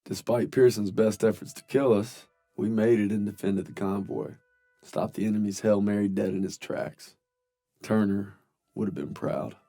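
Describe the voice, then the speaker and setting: Low-voiced earnest young soldier. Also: male voice, moderate pace, gravelly, dark tone. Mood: somber, resolute. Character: young American WWII corporal, earnest and dutiful. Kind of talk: video game cutscene acting and reflective narration. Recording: game soundtrack music behind.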